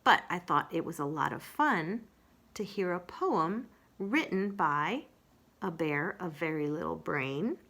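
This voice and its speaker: A woman working as a children's storyteller, chatting casually to young listeners and introducing a storybook. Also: on the low side. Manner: animated, unhurried storytelling.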